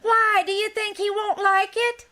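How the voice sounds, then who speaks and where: A woman's voice: very high-pitched, level tone, a little slow, heavily acted. A woman playing a dotty, sweetly oblivious older landlady in an old-time radio comedy on stage. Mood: puzzled. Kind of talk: old-time radio comedy acting before a live audience. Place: live stage in a small-town theater. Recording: loud noise over live theater stage recording.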